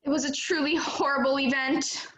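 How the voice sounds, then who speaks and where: A young woman in an amateur stage play, acting a melodramatic, chatty runaway high school senior who tells her story earnestly. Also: fairly even intonation, normal speed, fairly bright tone. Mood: dramatic, confiding. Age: young adult. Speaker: student actor in a play. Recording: roomy, echoing sound.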